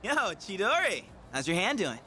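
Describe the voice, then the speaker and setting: A man's voice: sits high, wildly swooping pitch, moderate pace, pushed and bright, soft background music, exaggerated voice. An actor voicing a cocky, goofy but earnest teenage boy in a video game, casual and sometimes awkward or theatrically boastful. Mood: surprised, friendly. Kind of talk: English video game voice acting.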